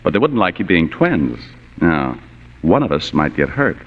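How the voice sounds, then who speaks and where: An actor playing a wisecracking, hard-boiled private detective in a 1940s radio noir, with a dry, sardonic delivery. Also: male voice, low voice, sing-song, moderate pace. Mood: sardonic, cool. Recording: dull vintage radio broadcast recording.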